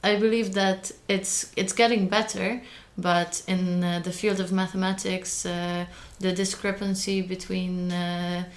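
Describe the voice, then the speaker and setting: An earnest young woman, a Hungarian native speaker, talks as a podcast guest in a low, level voice. She goes carefully and stops often with small fillers while she looks for the right word. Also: level tone, a little slow, few pauses. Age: young adult. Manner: careful, earnest, with frequent hesitations. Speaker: guest, early career mathematician. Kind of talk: podcast interview.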